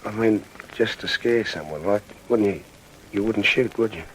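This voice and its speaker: A man's voice: on the low side, normal speed, fairly bright tone, a little hiss or hum, close to an everyday voice, rough recording. An actor playing an uneasy, compliant junior burglar with an Australian accent in an old radio crime drama, hesitant and deferring to his boss.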